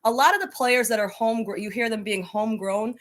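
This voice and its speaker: A woman's voice: on the high side, fairly quick. A woman answering interview questions as a soccer coaching advocate, in an emphatic, passionate conversational way.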